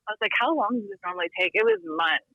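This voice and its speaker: A woman's voice: a little high, very animated, rapid-fire, vocal fry, no echo. A woman in her thirties from Texas, calling in from home as a podcast guest, chatting casually with frequent ums and laughs. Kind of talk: casual interview chat. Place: home in Littleton, Colorado. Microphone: remote call-in line. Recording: dull dry call-in audio.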